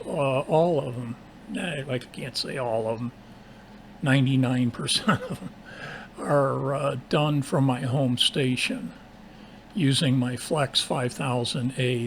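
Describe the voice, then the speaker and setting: A man chatting casually as an amateur radio operator from his home station, in halting, hesitant phrases. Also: mid-range voice, a little hiss or hum.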